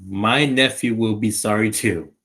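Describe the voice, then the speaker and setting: A man playing a gentle, bashful middle-aged salesman in a 1940s-style radio play, with a hesitant, measured delivery. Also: on the low side. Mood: subdued, considerate.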